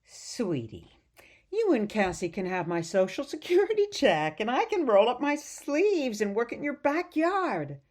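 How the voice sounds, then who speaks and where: A woman playing a sweet, gently stubborn elderly mother in a radio play, coaxing and chiding softly. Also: a little high, sing-song, unhurried.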